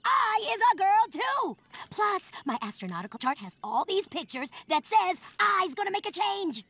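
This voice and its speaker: A woman voicing a brash, excitable, slangy teenage girl shapeshifter in a cartoon. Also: very high-pitched, moderate pace, heavily acted. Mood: worked up. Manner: slangy, ungrammatical, emphatic. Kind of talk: animated cartoon voice acting. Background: music.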